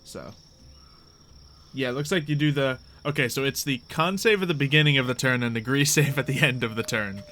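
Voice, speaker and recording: A man with a bright, clear-edged voice runs a tabletop game in a casual, improvised way. He hesitates and thinks aloud as he goes. Faint noise sits behind him. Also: medium pitch, brisk. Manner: hesitant, thinking aloud while checking rules. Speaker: game master.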